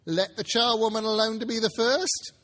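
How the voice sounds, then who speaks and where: A man's voice: high-pitched, moderate pace, a bit bright, voice pushed up. A man voicing a brazen, grasping charwoman in a dramatic story reading, defiant and scornful. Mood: brash, triumphant. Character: brazen, grasping working-class charwoman selling plunder. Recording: reverberant hall, live stage reading.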